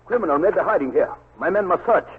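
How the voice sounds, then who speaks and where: An officious Japanese police sergeant in a radio drama, rather high-voiced and stern, speaking clipped, stilted English. It is a dull, noisy 1940s recording. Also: male voice, animated, fast talker, breathy edge, heavily acted. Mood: commanding.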